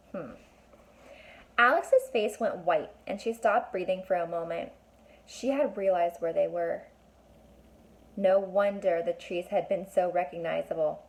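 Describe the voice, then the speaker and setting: A woman's voice: on the low side, low-quality recording. A woman reading a children's book aloud as a teacher would, stopping to explain and put questions to young listeners.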